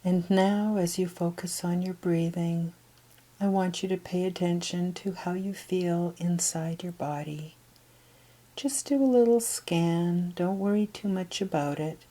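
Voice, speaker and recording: A woman leading a guided meditation in a gentle, soft, breathy voice that often trails toward a whisper, with unhurried pauses. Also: lowish voice. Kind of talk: guided meditation, soothing instruction.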